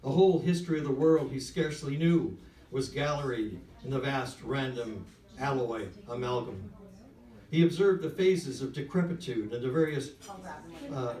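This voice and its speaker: A man, an author at a live literary event, speaking with measured, emphatic phrasing. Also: medium pitch, darkish tone. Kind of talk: literary reading, then frank talk. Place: whisky bar literary event. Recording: echoey room, distant from mic.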